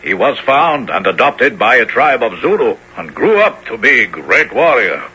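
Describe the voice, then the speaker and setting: A man acting a proud, solemn African warrior and exiled king in a radio drama, with measured, declamatory, formal speech. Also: medium pitch, sing-song, bright, forceful tone, slightly rough, heavily acted. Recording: dull, noisy old radio broadcast.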